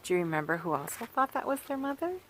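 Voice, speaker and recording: A woman's voice: fairly low pitch, big pitch swings, quick pace, a little hiss or hum. Woman reading a kids picture book, sing-songy voice, stops to show the pictures. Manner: gentle, speaks to young listeners and points at pictures. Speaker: children's author reading aloud. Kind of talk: storybook read-aloud for children. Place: farm.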